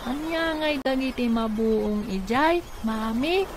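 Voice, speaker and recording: An actor playing a lively, polite and curious young boy in an Ilocano radio comedy-drama, with a childlike, chatty, eager way of talking. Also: medium pitch, slow, noisy background.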